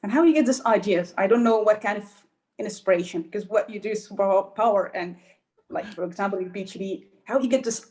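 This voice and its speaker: Woman podcast host with a halting manner. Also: medium pitch, darkish tone, poor audio. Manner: hesitant questions, with fillers and restarts. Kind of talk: interview hosting.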